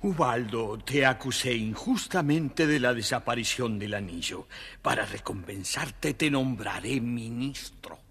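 A man's voice: medium pitch, expressive, measured pace, fairly bright tone, breathy edge, exaggerated voice. A man playing an authoritative, formal, stern king in a children's story. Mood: solemn. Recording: reverberant hall effect.

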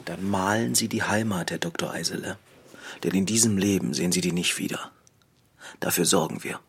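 A man's voice: sits low, brisk, fairly bright tone, breathy edge, heavily acted. An actor playing a watchful, defiant young man in a radio play, with a dry conversational delivery. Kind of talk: radio drama acting.

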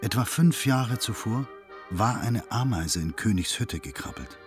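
Drama narrator: a precise, observant man, lowish voice with lively melody, soft music behind. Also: measured pace. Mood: intent, observant. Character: male storyteller, precise, observant. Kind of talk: scripted radio drama narration.

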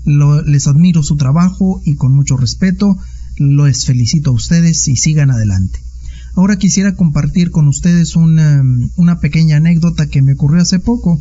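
A man's voice: on the high side, normal speed, warm tone. A man with a Mexican accent leaving a polite, formal voice message, with some fillers. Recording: dull lo-fi recording.

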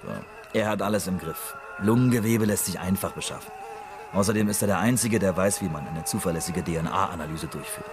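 An actor playing a shrewd, cynical investigator in a radio drama, speaking in a methodical, matter-of-fact way. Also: male voice, low voice, a little fast, background noise, lightly acted. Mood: sly, reassuring. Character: shrewd, cynical male investigator reciting a fabricated case report. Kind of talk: radio drama dialogue, reading out a report.

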